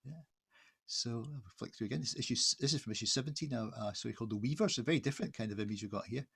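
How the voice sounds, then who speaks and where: A man hosting a casual interview, chatty and halting, often restarting his questions. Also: mid-range voice, vocal fry, fairly bright tone, husky. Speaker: host, magazine editor interviewing an artist. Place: online video call.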